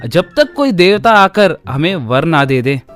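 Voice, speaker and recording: An actor playing a brash, scheming, idle young village man with a cheeky, excitable manner in a comedy story. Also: on the high side, a little slow. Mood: explaining.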